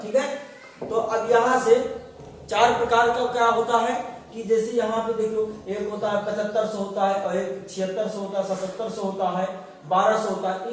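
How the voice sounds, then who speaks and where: High pitched guy teaching a class, keeps asking then answering himself, far off mic, big echo. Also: slight background noise. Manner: explains step by step, asking and answering his own questions. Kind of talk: classroom lecture. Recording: distant, very echoey room.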